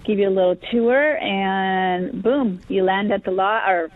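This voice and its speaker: A woman guest on a radio call-in show, describing her fishing lodge with eager, halting speech full of fillers, her voice slightly worn. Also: mid-range voice. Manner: enthusiastic, halting with many fillers. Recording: tinny phone line.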